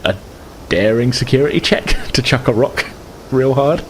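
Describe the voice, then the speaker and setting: A man's voice: mid-range voice, normal speed, slight background noise. A man acting as game master, describing scenes and rules in a loose, rambling way with many restarts. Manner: rambling, lots of fillers and restarts. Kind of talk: narrating a tabletop game, casual.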